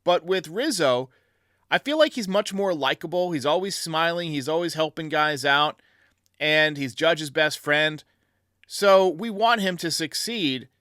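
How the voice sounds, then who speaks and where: Bright, forceful male sports show host. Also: fairly high pitch, animated, a little slow, no echo, clean studio sound. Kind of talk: baseball commentary answering voicemails.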